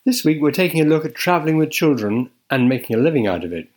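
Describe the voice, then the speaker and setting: A man's voice: a little high, very animated, quick pace, over loud music. A man co-hosting a travel podcast, reading the introduction and asking the guest questions. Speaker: podcast co-host. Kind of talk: scripted intro and interview questions.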